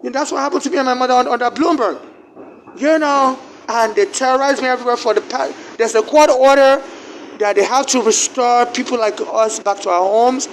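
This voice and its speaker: A man from Nigeria with a Nigerian accent, whose first language is not English, giving a personal account in a halting way, repeating words and restarting. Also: very high voice, steady tone, a little hiss or hum, low-quality recording.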